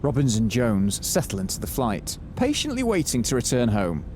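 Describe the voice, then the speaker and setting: A man's voice: mid-range voice, huge pitch swings, normal speed, darkish tone, slight background noise. A man playing the dramatic narrator of a mock detective serial, reading scripted narration. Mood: brisk, dramatic.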